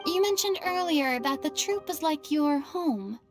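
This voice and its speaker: A woman's voice: high-pitched, lively intonation, measured pace, over music. A woman playing a poised, gracious and polite young celebrity performer in a video game. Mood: thoughtful.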